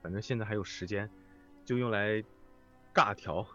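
A man's voice: on the low side, normal speed, a bit bright. A man chatting casually as a guest on a live stream, joking and reassuring. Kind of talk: casual banter. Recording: dull lo-fi stream audio with music behind. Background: music.

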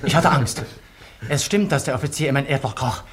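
An actor playing a stubborn, frightened soldier who earnestly insists on the truth in a radio drama. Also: male voice, mid-range voice, a little fast, fairly bright tone, slight background noise, natural voice. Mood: anguished, insistent. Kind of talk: German radio drama acting.

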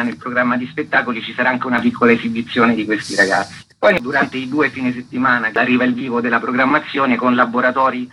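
A man explaining things as a guest in a radio interview. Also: mid-range voice. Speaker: festival co-artistic director, interview guest. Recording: dull lo-fi radio line with clear noise.

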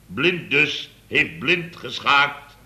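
An actor playing a blustering, muddled boss of a building firm in a radio comedy, rambling and repeating himself. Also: male voice, medium pitch, big pitch swings, very slow, bright, forceful tone, exaggerated voice. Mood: exasperated. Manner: rambling, repetitive, tags phrases with "hè". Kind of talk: 1970s Dutch radio comedy acting.

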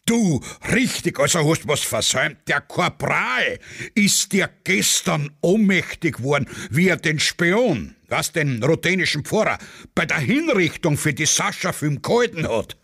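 Ein Mann spielt einen prahlerischen, plaudernden Offizier mit österreichischem Akzent. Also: male voice, fairly high pitch, very animated, measured pace, pushed and bright, slightly rough, no echo, clean studio sound, full character voice. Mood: jovial boasting. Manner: chatty, bragging, dialect-heavy. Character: boastful Austrian army officer, gossiping.

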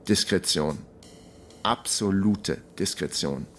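A man's voice: sits low, slow, a little hiss or hum. An actor playing a wry, broke ex-detective in his forties, narrating a radio crime drama in a laconic, self-mocking way. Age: 40s.

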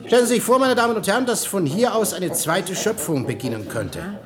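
A pompous park guide declaims grandly in a radio play. His voice is high and swoops widely, with a crowd audible behind him. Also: expressive, a little fast. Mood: grandiose. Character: pompous showman tour guide at futuristic animal park. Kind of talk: German radio play acting.

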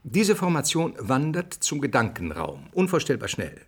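An actor playing an earnest, didactic scientist being interviewed in a radio drama. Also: male voice, medium pitch, big pitch swings, normal speed, husky. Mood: lecturing. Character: earnest male scientist, explaining theory, brusquely dismissive of speculation.

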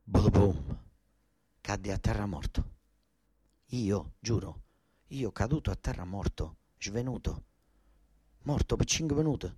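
A man's voice: on the low side, expressive, takes it slowly, long pauses, warm tone, slightly rough, dry recording, clean studio sound. A man with a southern Italian accent narrating a theatrical first-person monologue, colloquial and repetitive, with dialect words. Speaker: actor, storyteller.

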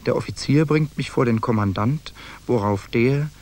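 A man's voice: mid-range voice, normal speed, a little mellow, lightly acted. An actor in a German radio drama playing a hardened soldier who narrates his own tale in archaic, clipped diction. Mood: wry, reflective.

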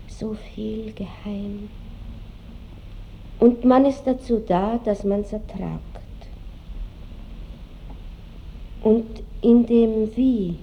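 A woman's voice: medium pitch, gravelly, highs cut off. An actress playing a melancholy, tender aristocratic woman musing on time in an Austrian accent, wistful and lilting, in a classic radio drama. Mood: resigned. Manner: wistful, musing, lilting old-fashioned speech. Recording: faint hiss of old radio recording.

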